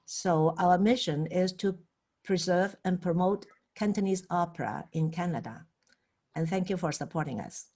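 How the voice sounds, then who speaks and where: A woman who is an opera company director, giving a careful, measured introduction with hesitations. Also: lowish voice, a little mellow, husky. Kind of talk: formal introduction.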